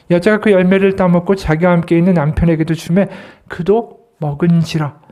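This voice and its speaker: Man, associate pastor, Sunday sermon, high voice, rhetorical questions, reads quotes aloud. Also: a little mellow.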